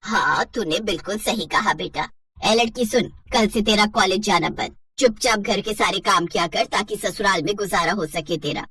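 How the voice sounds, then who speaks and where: Woman playing a scornful, low-toned middle-aged stepmother. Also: moderate pace, sharp tone, slightly rough, no room sound, clean studio sound. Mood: bossy. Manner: scornful, bossy. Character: mean, scheming middle-aged stepmother, cold and contemptuous. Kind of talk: Hindi moral-story cartoon acting.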